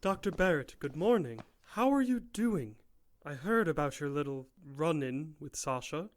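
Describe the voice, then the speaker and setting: A man playing a smooth, condescending male professor in an audio drama, sounding self-assured and patronising. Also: a little high, expressive, moderate pace, close to an everyday voice. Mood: smoothly patronising.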